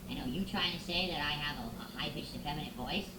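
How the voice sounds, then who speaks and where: A man's voice: a little high, fast talker. A man putting on the voice of a fussy, offended man through a voice changer, speaking in a prissy, over-enunciated way. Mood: affronted. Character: huffy offended man fussing over his own voice. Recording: echoey room with background noise.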